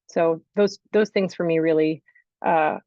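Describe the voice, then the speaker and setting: Woman researcher, low-ish voice, articulate and earnest, dry muffled call sound. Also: steady tone, measured pace, dark tone, no echo. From United States. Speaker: researcher, panel speaker. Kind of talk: panel answer.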